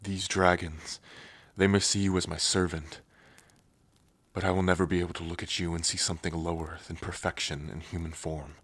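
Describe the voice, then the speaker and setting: An earnest young dragon heir with a deep, low voice, played by a man. He speaks softly and sincerely in a romantic audio drama, recorded dry and close. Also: level tone, normal speed, slightly rough, no room sound. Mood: tender. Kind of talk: ASMR roleplay.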